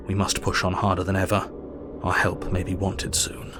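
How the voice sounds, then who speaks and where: Man as a low, even-toned Victorian doctor. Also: normal speed, music in the background, natural voice. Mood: worried. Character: sober Victorian doctor keeping an anxious diary. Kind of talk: audio drama diary narration.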